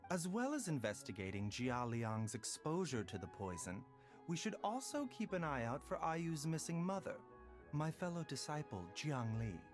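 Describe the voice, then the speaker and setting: A gentle young doctor from a video game, speaks in a polite, composed and formal way. His melody is lively and varied, with soft measured delivery. Music plays behind him. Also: on the high side. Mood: calm, thoughtful.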